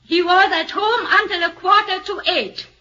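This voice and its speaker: An actress playing a cool, calculating woman witness with an Austrian accent, testifying in measured tones in a 1940s radio play. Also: extremely high pitch, steady tone, pushed and bright. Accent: Austrian German. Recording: dull lo-fi old radio broadcast.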